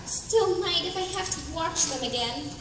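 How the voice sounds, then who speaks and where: A woman playing an excitable, bossy young adventurer in live comic radio theatre, exclaiming theatrically. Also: extremely high pitch, moderate pace. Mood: exasperated. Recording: echoey noisy hall, far from mic.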